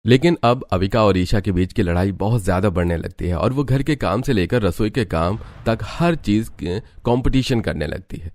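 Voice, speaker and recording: Narrator for an animated family story: male, low-pitched, scripted storytelling delivery. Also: normal speed, few pauses, no echo, studio quality.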